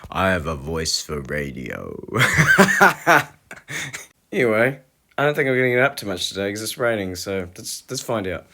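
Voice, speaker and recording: Man, 30s, New Zealand accent, voice rough from a cold, casual vlog narration, laid-back, self-mocking, dry close recording. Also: lowish voice, very animated, normal speed, sharp tone, dry recording. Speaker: vlogger, single dad.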